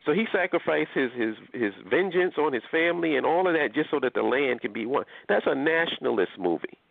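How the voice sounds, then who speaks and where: A man from Nigeria speaking with a lively, swinging delivery, blunt and emphatic, cursing freely. His voice sits a bit high for a man. It comes through dry and tinny, like a phone line. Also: brisk, vocal fry, no room sound. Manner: emphatic, profane, animated. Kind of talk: casual group discussion.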